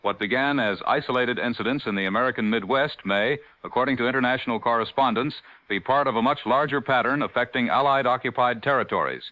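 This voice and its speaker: Man acting a sharp, formal 1940s radio anchor. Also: mid-range voice. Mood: grave, formal. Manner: formal, measured newsreader delivery. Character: grave 1940s radio news anchor, middle-aged man. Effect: old radio filter. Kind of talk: 1940s radio news reading. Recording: dull lo-fi vintage radio broadcast.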